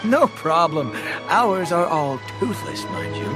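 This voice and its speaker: A sly, easygoing fishmonger with a folksy drawl that rolls up and down. His voice sits a bit high and swings widely. Game music plays clearly behind. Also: very animated, takes it slowly. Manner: folksy, sly, laid-back. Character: sly easygoing male fish seller. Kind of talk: video game cutscene dialogue. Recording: game audio with background music.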